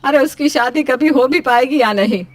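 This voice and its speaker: A woman's voice: sits high, moderate pace, dry recording. A woman playing an anxious, scolding middle-aged mother in story dialogue, fretful and complaining. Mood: worried.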